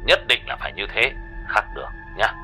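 A man putting on a gruff crude older buddy. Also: on the low side, big pitch swings, brisk, over music. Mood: brusque. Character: coarse joking middle-aged man, brash, crude.

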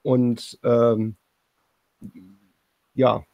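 A man's voice: mid-range voice, level tone, very slow, a little mellow, dry recording, rough recording. A man co-hosting a casual panel discussion, speaking in a tentative, hedging way. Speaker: podcast co-host.

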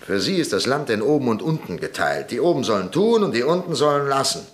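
A man's voice: mid-range voice, sing-song, fast, close to an everyday voice. An actor playing a stern older father who sits in parliament, bitter and prone to angry outbursts, in a German radio drama. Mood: bitter tirade.

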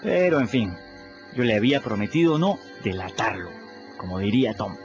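A cheeky adolescent runaway telling his adventures in a radio drama. His colloquial delivery swings up and down with lots of exclamation. The sound is slightly dull, with music clearly behind him. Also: on the low side, sing-song, moderate pace. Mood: animated storytelling. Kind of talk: radio drama storytelling in first person.

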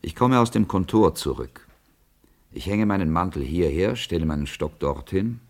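A proud man of business, burdened and lonely. His voice is extremely deep. He speaks gravely and formally, with deliberate phrasing, in a literary radio drama. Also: animated. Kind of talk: literary radio play monologue.

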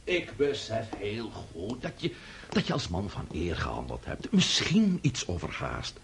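A man's voice: lowish voice, huge pitch swings, vocal fry, fairly bright tone, husky, exaggerated voice. A male actor playing an older scheming prince and father in a radio drama, coaxing and flustered, stumbling over repeated words. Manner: halting, repeats words, coaxing with questioning hums.

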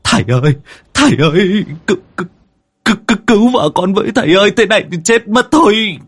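A man's voice: high-pitched, lively intonation, quick pace, fairly bright tone, breathy edge, voice pushed up, exaggerated voice. A man voicing a terrified, whining village husband in a horror story, stammering and pleading. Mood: desperate pleading. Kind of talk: Vietnamese comic horror audiobook acting.

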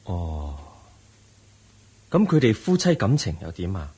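The serene, wise Buddha. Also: male voice, low voice, huge pitch swings, measured pace, slightly rough, exaggerated voice. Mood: serene, patient. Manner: gentle, patient, questioning like a teacher. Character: serene wise Buddha, calm male teacher. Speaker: Buddha in a radio play. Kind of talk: 1980s-style radio drama acting.

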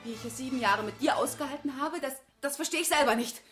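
Sharp-tongued, fast-talking scornful wife. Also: female voice, sits high, soft background music. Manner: biting, sarcastic, theatrical indignation. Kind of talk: naturalistic German radio drama acting.

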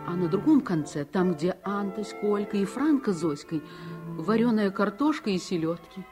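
A woman playing a sensitive, reflective narrator of her childhood, earnest and expressive, in 1950s Soviet radio style. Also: medium pitch, moderate pace, faint music behind, close to an everyday voice. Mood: earnest, uneasy.

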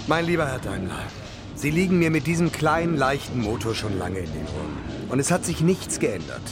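Dismissive, condescending male factory boss, actor performance, audio drama, medium pitch, very varied delivery. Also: very animated, fairly quick, music in the background, lightly acted. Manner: condescending, emphatic.

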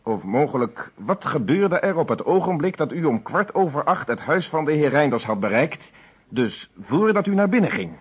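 Husky stern police inspector. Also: male voice, on the high side, sing-song. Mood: pressing. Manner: formal, measured, authoritative interrogation. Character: stern, probing male police inspector, confident and insistent. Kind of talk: old Dutch radio detective play acting. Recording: dull lo-fi old radio recording.

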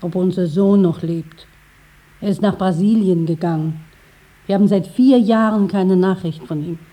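Middle-aged mother acted by a woman, plain, plaintive, warm muted tone, anxious, old radio play. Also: fairly low pitch, moderate pace, lightly acted. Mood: worried. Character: anxious, chatty mother of a grown son. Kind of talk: 1950s German radio play acting.

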